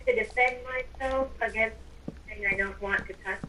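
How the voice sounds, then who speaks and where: A woman's voice: on the high side, fairly even intonation, moderate pace. A woman chatting casually while playing a game, recorded on a distant mic with noise. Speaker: gamer. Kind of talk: casual gameplay chat.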